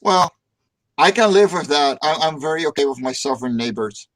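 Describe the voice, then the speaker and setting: A man's voice: on the high side, expressive, brisk, a bit bright, rough recording. Joking Dutch man hosting a stream. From Netherlands. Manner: joking, upbeat, repeats words when starting. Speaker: stream host. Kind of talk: casual livestream chat.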